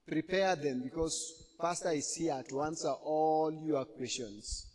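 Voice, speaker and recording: A man moderating a church program, speaking slowly with many fillers and asking the audience questions. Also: fairly high pitch, darkish tone. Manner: slow, halting, many fillers. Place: church hall. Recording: long hall reverb.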